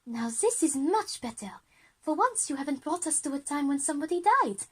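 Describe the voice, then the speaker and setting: A woman voicing excitable, curious schoolchildren in an audio drama, eager and exclaiming. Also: high voice, moderate pace, pitched above the performer's own voice. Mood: curious, relieved.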